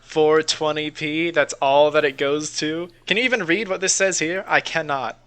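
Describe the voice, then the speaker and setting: A man's voice: on the high side, fairly even intonation, moderate pace, fairly bright tone, clear, resonant tone. A man co-hosting a podcast in a casual, rambling, sweary chat, reacting to videos. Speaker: podcast host.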